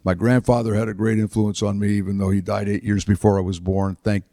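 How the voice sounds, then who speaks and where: A man in his seventies, a retired politician and author, tells stories about his life to an audience in a chapel, halting with fillers and restarts. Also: lowish voice, flat delivery, dark tone, no echo, studio quality. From United States. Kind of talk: relaxed storytelling talk.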